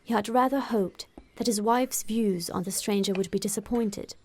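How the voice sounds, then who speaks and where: A woman's voice: mid-range voice, normal speed, darkish tone. A woman narrator reading a classic novel aloud as scripted audiobook narration.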